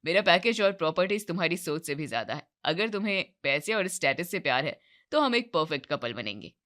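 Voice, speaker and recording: A woman puts on a wealthy young businessman from a cartoon moral tale. His voice is pitched down, curt and boastful, with a businesslike pride. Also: sing-song, a little fast, fairly bright tone. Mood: smug, cool. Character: proud, curt young rich businessman suitor. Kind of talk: moral-story cartoon voice acting.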